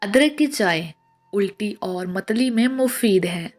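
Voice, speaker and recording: A woman narrates short scripted tips at a markedly slow pace. Her voice sits at an average pitch for a woman, and her delivery is measured and instructional. Music plays behind the voice-over. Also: lively intonation, a little mellow. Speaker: narrator of household and health tips.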